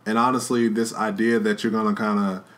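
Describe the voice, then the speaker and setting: A man co-hosting a casual podcast with his wife, talking in a relaxed, slangy, earnest way about becoming a father. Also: fairly low pitch, fairly quick. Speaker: podcast co-host, expecting father. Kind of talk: casual chat.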